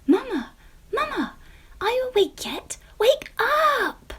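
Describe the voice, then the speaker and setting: A woman voicing an excitable young girl pumpkin who gushes in a squealing, breathless voice. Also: slow pace, heavily acted. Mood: excited. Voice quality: squealing high voice. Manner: breathless, gushing.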